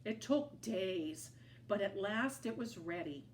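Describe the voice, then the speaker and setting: Woman reading a storybook slowly, very animated. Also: mid-range voice, slight background noise. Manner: slow, animated read-aloud. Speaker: children's story reader. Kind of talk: expressive storybook narration for toddlers.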